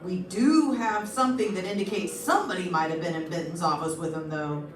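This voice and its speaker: A woman's voice: fairly low pitch, fairly quick, a crowd in the background, lightly acted. A woman playing a cautious, matter-of-fact police lieutenant in an old-time radio detective drama. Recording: echoey stage, distant mic.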